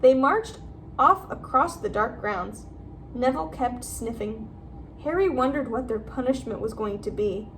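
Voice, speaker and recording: A woman teacher giving an expressive read-aloud of a storybook. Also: fairly high pitch, measured pace, slight background noise, poor audio.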